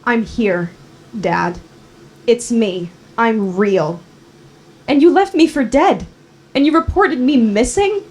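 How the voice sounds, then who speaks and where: A woman's voice: on the high side, slow pace, pauses often, a little mellow. A woman playing a wounded, insistent daughter confronting her father in a dramatic script reading, with terse, earnest lines. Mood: hurt, remembering. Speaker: actor in a screenplay table read.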